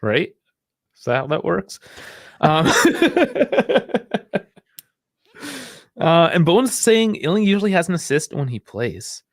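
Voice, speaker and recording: A man's voice: fairly high pitch, wildly swooping pitch, slow pace, pauses often, dry recording. Chatty man on a dry mic. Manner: chatty, rambling, laughs often. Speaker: podcast co-host. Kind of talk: casual sports chat.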